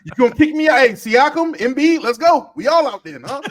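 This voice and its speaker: A man trading takes on a casual group podcast in a high, animated voice. He rattles off quick lines, his pitch swooping up whenever he's incredulous. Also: a crowd in the background. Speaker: podcast co-host.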